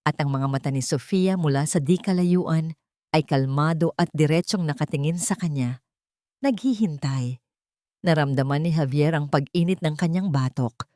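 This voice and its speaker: A woman's voice: sits low, darkish tone, dry recording, clean studio sound. A woman reading scripted dramatic narration of a romance story as a storyteller.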